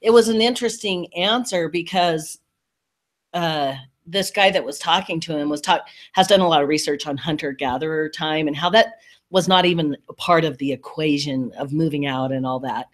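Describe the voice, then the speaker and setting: A man's voice: fairly high pitch, normal speed, a bit bright. A middle-aged man talks as a podcast co-host in an easy, conversational style. His delivery is blunt and unpolished, with casual swearing dropped in. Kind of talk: casual chat.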